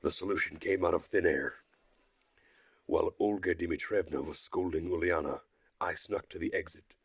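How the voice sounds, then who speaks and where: A man with a slightly rough voice narrating a first-person visual novel story in a measured, dry manner. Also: low-pitched, steady tone, dry recording. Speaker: streamer reading a visual novel aloud.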